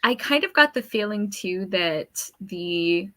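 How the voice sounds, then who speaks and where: A woman's voice: mid-range voice, animated, takes it slowly, bright, forceful tone. A woman co-hosting a live show, talking conversationally in a casual book chat.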